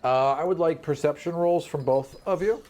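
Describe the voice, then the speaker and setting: A man's voice: on the high side, moderate pace, noisy background. A man narrating a tabletop fantasy game as game master, in a conversational, casual way. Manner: conversational, casual, peppered with 'you know' and 'right'.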